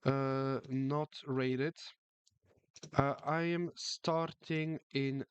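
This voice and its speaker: A man's voice: medium pitch, steady tone, very slow, clear, resonant tone, no room sound, dull audio. A man chatting casually on a live chess stream, reading the chat and often repeating his words. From France.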